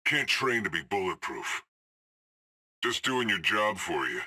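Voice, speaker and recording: A man's voice: on the low side, big pitch swings, unhurried, sharp tone, heavily acted. An actor playing a cocky, cool male hired assassin in a video game, trading dry, sardonic one-liners.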